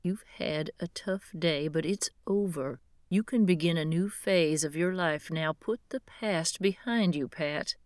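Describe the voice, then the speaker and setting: A woman voicing a calm, comforting mother who speaks softly and steadily. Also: fairly low pitch, measured pace, hardly any pauses, no room sound, studio quality, close to an everyday voice. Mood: soothing. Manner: soft, soothing, steady. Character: calm, comforting mother, middle-aged or older.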